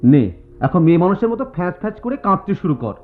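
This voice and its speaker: A young, teasing student in a radio play. He is bossy and declamatory, with very lively pitch. The recording is tinny and narrow, with some music underneath. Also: fast. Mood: chiding. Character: brash, teasing young male student roommate. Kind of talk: Bengali radio drama acting.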